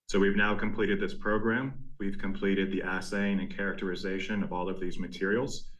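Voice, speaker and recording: A man speaking as a company executive, delivering a prepared earnings presentation in a measured, formal way. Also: lowish voice, fairly bright tone, poor audio. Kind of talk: prepared corporate presentation, scripted narration.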